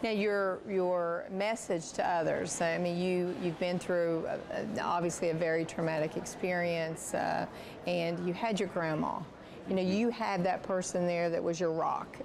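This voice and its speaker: A woman's voice: lowish voice, fairly even intonation, unhurried, vocal fry, noisy background. A woman interviewing a guest, asking questions with many fillers and restarts. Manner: searching, filler-heavy phrasing. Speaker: interviewer, state official.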